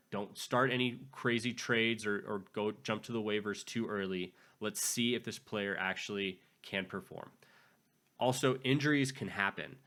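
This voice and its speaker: A man with a low, raspy voice, hoarse after a recent illness. He hosts a sports channel and talks casually to his viewers, stumbling and repeating words as he works through his thoughts. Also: a bit bright. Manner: conversational, frequent restarts and repeated words. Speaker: fantasy football channel host. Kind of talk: casual advice talk to camera.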